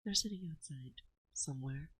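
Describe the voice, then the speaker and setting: A woman playing a playful, dominant forest witch in an ASMR roleplay, speaking softly and breathily close to a binaural mic, often slipping into a whisper, teasing and coaxing. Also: low-pitched, expressive, husky, dry recording, full character voice. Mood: playful, musing. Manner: slow, teasing, coaxing, with musing pauses. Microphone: close binaural microphone.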